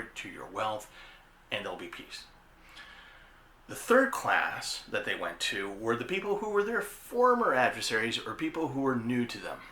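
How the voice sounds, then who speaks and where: A man's voice: lowish voice, bright, forceful tone. A man hosting an informal history explainer, talking conversationally and repeating phrases for emphasis. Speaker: host of an anime history show. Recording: roomy echo with faint background noise.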